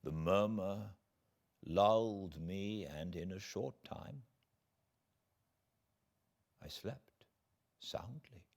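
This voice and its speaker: A British man narrates a classic novel in a low voice. His pace is very slow and deliberate, with theatrical pauses and swells that give the literary prose dramatic weight. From Britain. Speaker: audiobook narrator. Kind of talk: dramatic literary audiobook reading.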